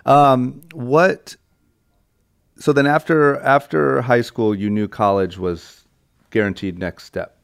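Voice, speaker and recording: A man's voice: medium pitch, fairly even intonation, slow pace, gravelly, clean tone, dry recording, studio quality. A man hosting an interview podcast, talking in an eager, rambling way with lots of fillers and restarts. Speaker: podcast host. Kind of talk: casual interview chat.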